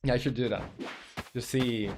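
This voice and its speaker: Man, 20s, mid-pitched, casual live-stream developer, rambling and filler-heavy, thinks aloud. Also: steady tone, normal speed, darkish tone, slight background noise.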